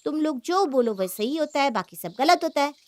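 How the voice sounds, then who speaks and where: A woman's voice: high voice, lively intonation, brisk, no room sound, clean studio sound, pitched above the performer's own voice. A woman voicing a jealous, sulky young married woman in a cartoon moral story, complaining and argumentative. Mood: sarcastic, aggrieved.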